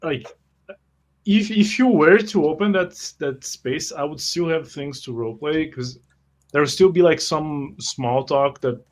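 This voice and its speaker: A man's voice: on the high side, measured pace. A man talking casually as a player in a tabletop roleplaying game. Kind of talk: casual chat.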